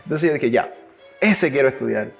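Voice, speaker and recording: A man from Cuba with a Cuban accent tinged with Chilean, telling stories in a relaxed interview and acting out quoted dialogue. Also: medium pitch, normal speed, vocal fry, faint music behind. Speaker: guest, double bass player.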